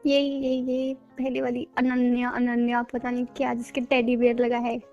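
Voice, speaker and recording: A woman with a high voice argues as a wife in a casual domestic quarrel. She is confrontational and accusing, with faint music behind her. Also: monotone, normal speed, darkish tone, muffled recording. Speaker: wife in a prank video.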